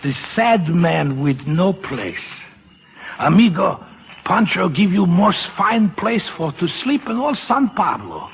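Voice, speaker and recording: A jolly, big-hearted Mexican carousel man, tipsy and effusive in broken English. His voice sits high and swings widely, with a creaky edge, in old-time radio drama fashion. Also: sing-song, slow pace, lo-fi sound, a little hiss or hum, exaggerated voice. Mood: warm, confiding. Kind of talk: 1940s radio acting.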